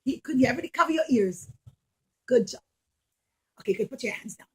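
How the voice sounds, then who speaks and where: A woman teaching young children a religious story in an expressive way, acting out the dialogue and putting questions to her listeners. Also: fairly high pitch, fast, husky. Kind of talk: storytelling puppet show lesson for young children.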